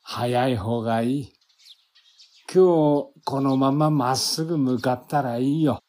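A man's voice: medium pitch, measured pace, darkish tone, lightly acted. A man acting as a kindly older forest guide in an audio drama, with folksy, reassuring speech. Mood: calm, reassuring.

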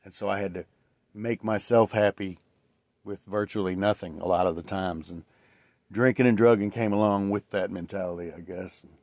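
A man in his late forties talks through his own solo podcast in a low voice. He rambles and reflects, with plenty of fillers and restarts. The sound is close and dry, with no room echo. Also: fairly even intonation, normal speed, a little mellow. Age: 40s. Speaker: podcast host. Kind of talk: casual solo podcast monologue.

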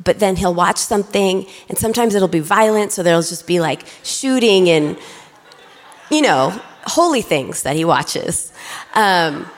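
A young adult woman preaching a lively, excitable sermon to her congregation from a church stage. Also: lowish voice, unhurried. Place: large church auditorium. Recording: reverberant church hall.

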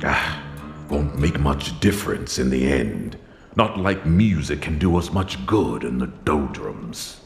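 A man's voice: extremely low pitch, animated, takes it slowly, vocal fry, reverberant, in a large hall, soft background music, full character voice. A man voicing a gloomy old male sailor who drawls grim warnings about being stranded. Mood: glum. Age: older. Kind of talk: animated musical comedy.

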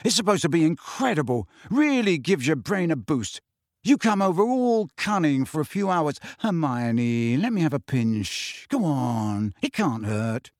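An excitable teenage schoolboy, put on by a man, keyed up high and bouncing around in pitch. He is eager and a touch whiny. The read is close and dry. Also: measured pace, dry recording.

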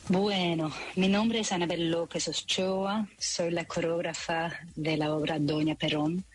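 A woman's voice: lowish voice, poor audio. A woman from Belgium, speaking carefully as a guest choreographer on a radio program. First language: French. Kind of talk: radio interview.

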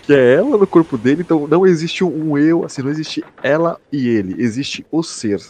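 A man with a Brazilian accent hosting a casual podcast discussion, slangy and halting as he explains. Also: a little high, expressive, a little mellow, slightly rough. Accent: Brazilian Portuguese. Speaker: podcast host.

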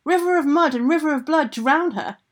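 A woman voicing an enraged ogress who shouts commands in a storytale. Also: sits high, animated, slow pace, pitched above the performer's own voice. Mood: furious, commanding.

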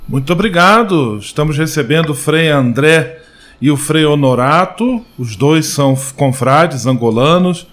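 A man hosting a radio program, formal and announcing clearly. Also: fairly high pitch, expressive, a little slow, fairly bright tone. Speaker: radio host.

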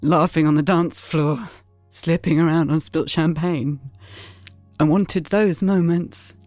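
A woman's voice: deep voice, steady tone, a little mellow, no echo. Wistful, anguished mother over music. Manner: hesitant, emotional, halting. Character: wistful, anguished mother reviewing her memories. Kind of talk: naturalistic audio drama acting.

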